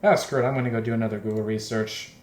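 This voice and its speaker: A man's voice: fairly low pitch, fairly even intonation, rapid-fire, a little mellow. A man hosting a let's play, reading a visual novel aloud and adding rambling, self-conscious joking commentary. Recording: echoey, roomy home recording.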